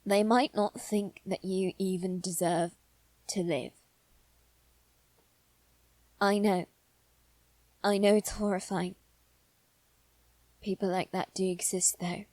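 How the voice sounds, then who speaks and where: A woman voicing a haughty but protective young nobleman boy in a scripted roleplay addressed to the listener, speaking hesitantly and gravely, often repeating the start of a phrase. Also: medium pitch, a little mellow, no echo. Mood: grave warning.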